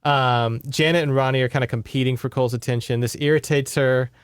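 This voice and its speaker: A man's voice: mid-range voice, fairly even intonation, a little fast, smooth, dry recording, clean studio sound. A man co-hosting a podcast, retelling a book in a casual, informal, chatty way. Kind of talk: casual conversational book recap.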